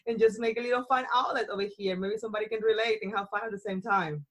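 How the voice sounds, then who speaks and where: A woman's voice: medium pitch, fast talker, fairly bright tone. A bubbly woman with a Colombian Spanish accent, a native Spanish speaker, chatting on a podcast. Her voice sits in an ordinary middle register. She laughs easily and often restarts her sentences as she goes. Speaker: podcast host being interviewed. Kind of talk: casual podcast chat.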